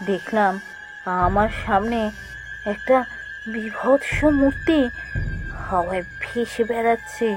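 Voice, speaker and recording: A woman voicing an anxious young female office worker who tells her ghost story in suspenseful, drawn-out phrases. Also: a little high. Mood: frightened, suspenseful. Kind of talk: dramatic first-person horror narration for a cartoon. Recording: music under the voice.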